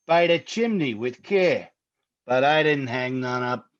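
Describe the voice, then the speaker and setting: A man playing a gruff, slangy, grudging skeptic in a 1950s radio comedy style. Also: mid-range voice, animated, measured pace, lo-fi sound, over loud music, natural voice. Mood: dismissive. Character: gruff, skeptical grown man who doubts Santa.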